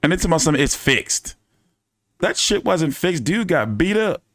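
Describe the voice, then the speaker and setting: A man's voice: a little high, big pitch swings, moderate pace, fairly bright tone, dry recording, studio quality. A man hosting a casual fight-commentary live stream, talking in loose, profane, joking banter. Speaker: boxing commentary host.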